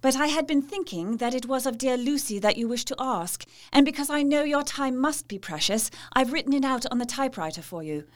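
A woman's voice: sits high, animated, fairly quick, few pauses. An actress playing a polite, devoted young Victorian wife in a gothic audio drama, formal and heartfelt, as if telling her diary. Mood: polite, modest. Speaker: actress narrating and playing dialogue.

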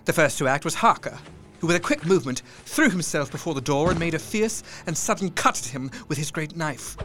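A man's voice: fairly high pitch, sing-song, faint music behind. Man narrating a tense audio drama. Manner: tense, measured storytelling with hushed dips.

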